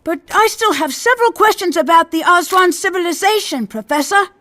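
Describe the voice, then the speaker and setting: A woman voicing an earnest, inquisitive young apprentice boy in an animated game. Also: very high-pitched, fairly quick, exaggerated voice. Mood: curious, admiring. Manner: earnest, curious. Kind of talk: animated game cutscene acting.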